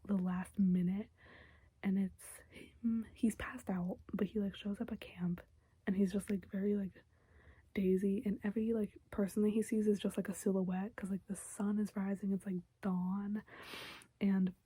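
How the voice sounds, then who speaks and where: A young woman giving a casual, chatty recap of a TV episode in a soft whisper, close to the mic, with lots of fillers. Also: mid-range voice, flat delivery, moderate pace, breathy edge, dry recording.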